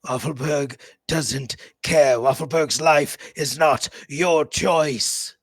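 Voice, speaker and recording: A man's voice: fairly high pitch, slow, dry recording, clean studio sound. A man playing a tabletop game, speaking of his character in the third person in a stern way. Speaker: player.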